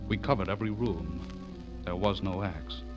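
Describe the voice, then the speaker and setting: A man's voice: deep voice, normal speed, close to an everyday voice. An actor playing an earnest, rattled man who narrates his own horror story in a theatrical, suspenseful radio drama style. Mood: uneasy, brooding. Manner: theatrical, intense, suspenseful delivery. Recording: muffled radio drama over loud music.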